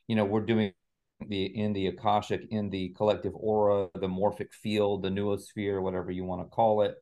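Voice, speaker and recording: A middle-aged man with a fairly low voice talks as an interview guest. He answers conversationally, explaining his work and views in an earnest way. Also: level tone, moderate pace, dark tone. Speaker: guest, author and healing practitioner.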